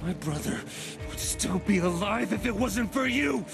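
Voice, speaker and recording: A man's voice: high-pitched, animated, a little slow, vocal fry, over music, exaggerated voice. An actor playing a furious, grieving young man bent on revenge in a video game. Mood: anguished rage. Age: young adult. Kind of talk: video game cutscene acting.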